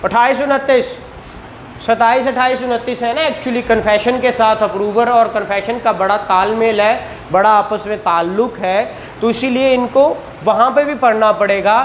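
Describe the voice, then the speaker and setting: A man's voice: extremely high pitch, steady tone, normal speed. A man from Pakistan giving a law lecture, who asks questions and answers them himself and mixes in English legal terms. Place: room with a whiteboard. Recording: roomy echo with faint background noise.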